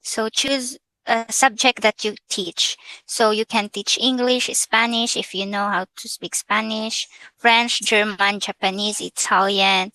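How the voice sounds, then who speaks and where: A Filipina woman with a Filipino accent talks slowly and hesitantly through an online tutorial, mixing English with Tagalog. Her voice is bright and forward, and it sounds close and dry, with no room around it. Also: mid-range voice, fairly even intonation, dry recording, poor audio. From Philippines. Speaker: vlogger. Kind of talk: casual screen-share tutorial.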